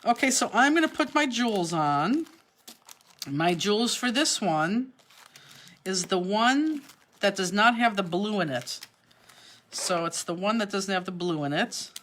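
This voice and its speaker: A woman's voice: mid-range voice, lively intonation, a little slow, a bit bright, slight background noise. A woman from Cleveland with a Cleveland accent, hosting a live craft class and chatting as she answers viewers' comments. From Cleveland, Ohio. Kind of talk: live online craft demonstration. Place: craft studio, indoors.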